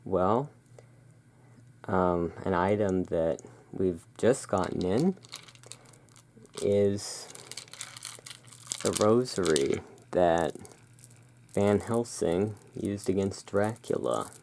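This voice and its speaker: A soft-spoken ASMR performer playing the courteous owner of a gothic curiosity emporium, speaking in a hushed, deliberate way. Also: low voice, animated. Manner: slow, deliberate, hushed sales patter. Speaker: roleplaying shop owner, ASMR host.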